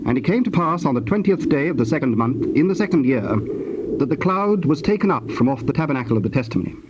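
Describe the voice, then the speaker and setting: A man's voice: a little high, very animated. Male scripture narrator, noisy tape. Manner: measured, formal. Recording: dull noisy tape transfer.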